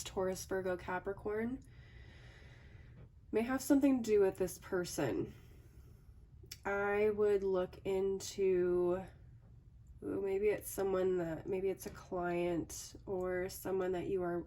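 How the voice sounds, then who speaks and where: Slightly raspy woman reading tarot. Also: mid-range voice, a little mellow, recorded from a distance. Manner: thinking aloud with frequent "okay" and "um". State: hoarse from a cold. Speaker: tarot reader.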